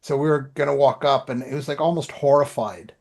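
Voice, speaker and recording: A man's voice: medium pitch, a little fast, a little mellow. Middle-aged man in casual chat. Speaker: podcast co-host and writer. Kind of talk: casual podcast chat about writing.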